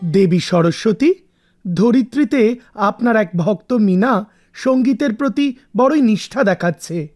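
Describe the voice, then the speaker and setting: A man's voice: high-pitched, animated, a little slow, long pauses, darkish tone, soft background music. A man voicing a respectful celestial sage in a mythological cartoon, speaking in a formal, deferential way. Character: respectful male celestial sage addressing a goddess.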